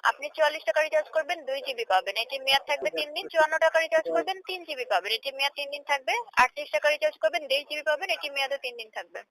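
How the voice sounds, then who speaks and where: High-pitched, brisk Bangladeshi female call centre agent. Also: fairly bright tone, poor audio. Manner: formal, polite, repeats set phrases. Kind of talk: scripted customer service phone call.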